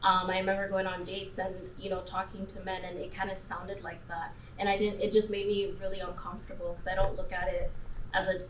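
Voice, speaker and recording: A woman in her twenties speaks casually and personally as a panel guest. Her voice is of average pitch, heard in a roomy space at some distance from the mic, with faint noise. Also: little pitch movement, a little fast. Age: 20s. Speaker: talk show panel guest.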